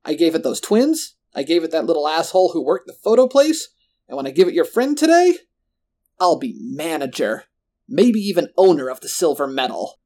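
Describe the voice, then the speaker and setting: A man's voice: on the high side, very animated, moderate pace, a little mellow. A man voicing a menacing, gloating, monstrous middle manager in a horror story, with a folksy, pompous, pontificating manner. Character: menacing, gloating, grotesque monstrous male mall assistant manager.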